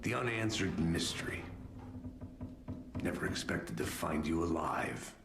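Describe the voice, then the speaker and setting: An actor playing a gruff, sardonic, middle-aged FBI agent in a cinematic video game. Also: male voice, low voice, animated, a little slow, noisy background. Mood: brooding. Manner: sardonic, accusing, terse.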